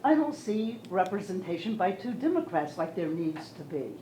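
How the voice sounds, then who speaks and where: A loud, insistent woman with a low, mellow voice presses her point during public comment. She is recorded in an echoey meeting room with light background noise. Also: sing-song, moderate pace. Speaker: resident giving public comment.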